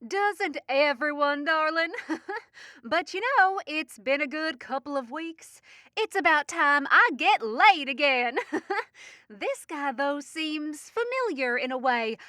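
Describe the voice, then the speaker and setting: Flippant young best friend for audio drama: high-pitched, unhurried, teasing, guarded. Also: female voice, lively intonation, pushed and bright, no room sound, studio quality, heavily acted. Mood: playful, teasing. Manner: teasing, breezy, uses pet names.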